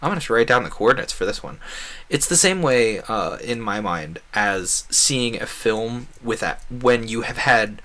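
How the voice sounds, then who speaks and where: A man's voice: mid-range voice, animated, normal speed, bright, forceful tone. A man chatting casually as he streams a game, going off on rambling, enthusiastic tangents. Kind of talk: casual chat while playing a game.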